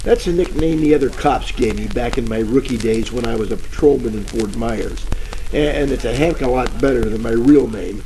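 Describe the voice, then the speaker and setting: An actor playing a bumbling, boastful private detective in a parody of 1940s radio drama, telling rambling stories in hammy slang. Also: male voice, medium pitch, gravelly, warm tone, slight background noise, close to an everyday voice, rough recording. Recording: old-time radio with music behind.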